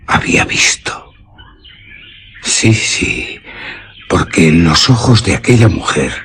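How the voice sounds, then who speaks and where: An ardent young nobleman, low-voiced. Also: fairly bright tone, heavily acted. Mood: entranced. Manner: impassioned, slow theatrical declamation. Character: lovestruck young nobleman, ardent, dreamy and obsessed. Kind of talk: Spanish radio drama acting.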